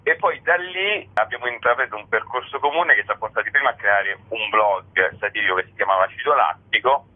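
A man's voice: on the low side, expressive, fairly quick, few pauses. A man being interviewed as a guest, explaining his work in a chatty way with many filler words. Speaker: interview guest, satirical writer. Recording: narrow tinny phone line on radio.

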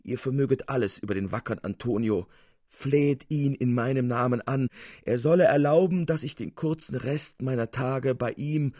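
A man's voice: mid-range voice, normal speed, a little mellow, dry recording, clean studio sound, natural voice. A man voicing an effusive, sentimental old uncle in a theatrical, plaintive storybook reading. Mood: humble, affectionate. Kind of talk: dramatic literary reading.

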